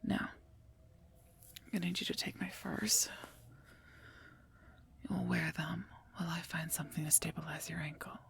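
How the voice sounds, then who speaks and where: A stern but protective werewolf knight played by a woman whispers in a breathy, heavily creaky voice. The delivery is formal and earnest with little melodic movement, gently scolding as in an intimate ASMR roleplay. Faint background ambience sits behind the close-up voice. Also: low voice, steady tone, normal speed, pauses often, slight background noise, full character voice. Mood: firm, confident.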